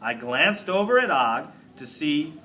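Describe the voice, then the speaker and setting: Animated, bright-voiced man reading a storybook. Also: fairly high pitch, a little slow, a little hiss or hum. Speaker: teacher reading a children's book aloud. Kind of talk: expressive storybook narration.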